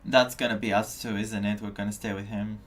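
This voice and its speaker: A game streamer giving casual live commentary, musing and halting while thinking out loud. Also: mid-range voice, flat delivery, a little hiss or hum.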